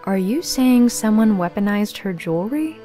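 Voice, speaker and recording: A woman's voice: mid-range voice, lively intonation, normal speed, mellow, faint music behind, natural voice. A woman voicing a serious, tough but considerate young necromancer in a visual novel read aloud, speaking plainly and earnestly. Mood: questioning.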